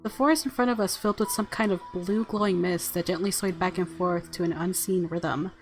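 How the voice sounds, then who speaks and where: A woman's voice: fairly low pitch, a little fast. A woman game streamer reading a visual novel aloud and reacting casually. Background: faint game music.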